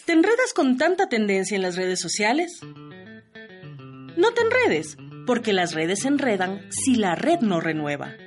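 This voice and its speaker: Female promo announcer, animated pitch, over a clear guitar bed. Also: unhurried. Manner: lively, sing-song promo delivery. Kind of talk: scripted radio promo.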